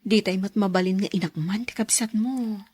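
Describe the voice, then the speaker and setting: A woman playing a gentle, supportive wife in a radio drama, reassuring and sympathetic in a breathy voice. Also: mid-range voice, moderate pace, lightly acted. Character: supportive, gentle young wife, kindly and encouraging. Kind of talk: Ilocano radio drama acting.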